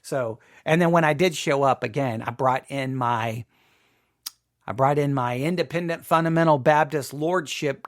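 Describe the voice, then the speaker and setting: A man's voice: mid-range voice, no echo, clean studio sound. A man hosting a podcast, talking informally about personal matters in an emphatic way, often repeating phrases for emphasis. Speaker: podcast host. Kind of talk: informal talk and personal commentary.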